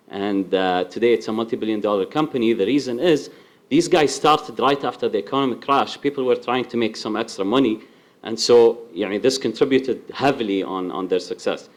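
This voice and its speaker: A man giving a spontaneous comment at a startup event, often repeating words as he thinks. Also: mid-range voice. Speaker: audience member commenting.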